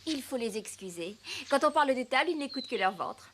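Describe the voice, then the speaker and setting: A soft-mannered, fairly high animated girl, polite and sincere. Birdsong and noise are clearly heard behind her. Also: fairly quick. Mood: admiring, polite. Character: polite young circus trapeze girl, gentle. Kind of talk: French animated film dialogue.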